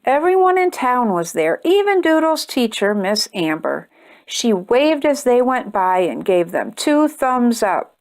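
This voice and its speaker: A woman reading a children's picture book aloud with expressive, deliberate storytelling and emphatic phrases. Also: a little high. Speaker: storytime reader and host.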